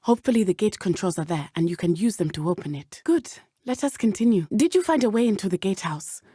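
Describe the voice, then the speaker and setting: A woman's voice: medium pitch, lively intonation, fast, warm tone, breathy edge, dry recording, clean studio sound. A woman playing an earnest, brave teenage schoolgirl witch in a video game, speaking in formal, precise phrases. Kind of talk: video game character dialogue.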